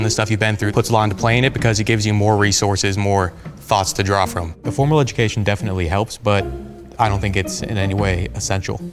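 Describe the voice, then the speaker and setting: Young man, low voice, talks fast and matter-of-fact, faint music under him. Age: 20s. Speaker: interview participant. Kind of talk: earnest explaining.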